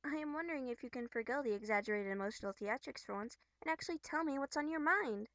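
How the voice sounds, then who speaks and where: A woman voicing a bubbly, earnest young troll girl from a webcomic, scolding but caring, with theatrical, expressive delivery. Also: high voice, quick pace, dry recording. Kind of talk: amateur character voice acting from a webcomic.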